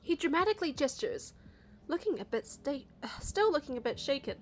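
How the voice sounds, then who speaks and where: High-voiced woman narrating a story game. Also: big pitch swings, a little fast, slight background noise. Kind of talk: first-person story narration, reading dialogue.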